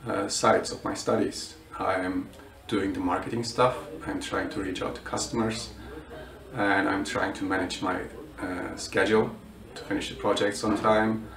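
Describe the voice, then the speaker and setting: Low gravelly man, echoey room. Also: little pitch movement, moderate pace, fairly bright tone. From Bulgaria. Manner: hesitant, many fillers and restarts. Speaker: student. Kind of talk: interview answers. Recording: roomy echo, mic at a distance.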